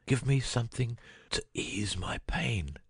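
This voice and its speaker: An actor playing a cunning detective with a husky voice in a dramatic audiobook reading. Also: male voice, mid-range voice, animated, a little slow, dry recording, clean studio sound, full character voice. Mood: feeble, agonized. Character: cunning male detective, first feigning a dying illness, later brisk.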